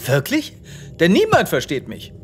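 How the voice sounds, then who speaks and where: A man's voice: fairly high pitch, wildly swooping pitch, unhurried, soft background music. A man playing a vain, self-pitying space veterinarian in a comic audio drama, melodramatic and whiny. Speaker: lead actor of an audio drama series.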